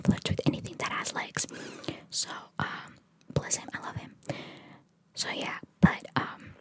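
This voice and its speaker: A woman speaks entirely in a soft, breathy whisper with no voiced tone. She delivers ASMR in an informal, chatty way, moving between reading aloud and casual asides. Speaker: ASMR creator.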